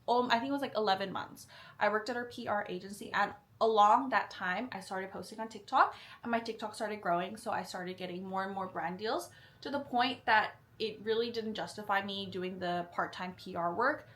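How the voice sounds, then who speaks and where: A young woman from Singapore chats through viewer questions in a loose, candid way, dropping "like" and "um" often. Her voice is bright and forward at a middle pitch. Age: young adult. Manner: chatty, frequent "like" and "um", candid. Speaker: vlogger. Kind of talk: casual Q&A chat.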